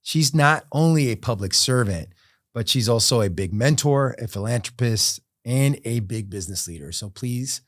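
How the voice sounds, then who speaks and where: A man's voice: mid-range voice, animated, moderate pace, dry recording, studio quality. A man hosting a podcast, an upbeat and encouraging interviewer welcoming his guest. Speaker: podcast host.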